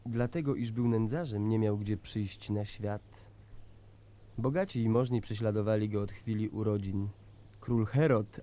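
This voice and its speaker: A man's voice: on the low side, mellow, lightly acted. An actor playing an impassioned male preacher figure in a radio drama, sermonizing with fervor. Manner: preaching, fervent.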